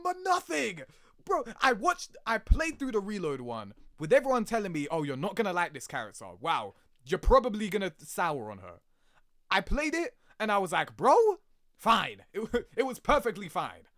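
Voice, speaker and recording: A man giving casual, rambling reaction commentary in an animated, slangy, sweary way, laughing easily. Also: high voice, brisk, dry recording, studio quality. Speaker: video creator reacting to game cutscenes.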